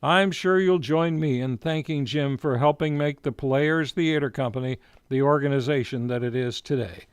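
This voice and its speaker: A man's voice: medium pitch, moderate pace, hardly any pauses, clear, resonant tone, dry recording. A man hosting a radio show from a studio, reading a script in a formal, theatrical, emphatic announcer style. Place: radio studio, live broadcast.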